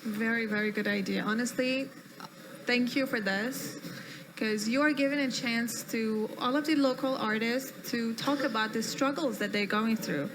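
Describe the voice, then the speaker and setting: A woman's voice: fairly high pitch, moderate pace, noisy background. A woman with a Persian accent speaking as a guest at a live panel, chatty and effusive, teasing herself. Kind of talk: casual panel chat with audience.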